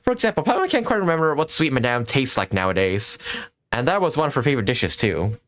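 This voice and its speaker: A man voicing a small, chirpy, sentimental female fairy companion from a video game, excitable and talking about herself in the third person. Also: medium pitch, quick pace. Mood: earnest, nostalgic. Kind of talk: reading video game dialogue in character.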